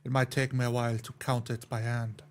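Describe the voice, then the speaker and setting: A man with a middling pitch and a flat, even delivery plays in a tabletop roleplay. He describes his character's actions as a player would, in a plain spoken way. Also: brisk.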